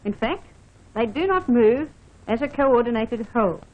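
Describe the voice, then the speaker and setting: High-pitched woman narrating a vintage training film. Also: animated, normal speed. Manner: formal, instructive, addressing the listener directly. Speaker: training film narrator, air force officer. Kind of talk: scripted instructional film narration. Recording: dull band-limited vintage film soundtrack.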